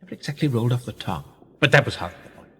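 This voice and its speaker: An actor playing a sly, persuasive older conspirator in a radio play, theatrical and insinuating, with husky, drawn-out, often hushed phrases. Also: male voice, medium pitch, lively intonation, full character voice. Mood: intent. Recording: echoey hall-like reverb.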